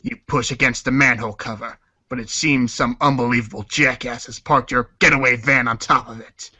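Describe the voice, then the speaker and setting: Man acting a sharp, sinister gangster boss. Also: mid-range voice, steady tone, a little fast, no room sound. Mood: seething. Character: sinister raging gangster boss Spade Slick narrating his schemes. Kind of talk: dramatic storybook read-along.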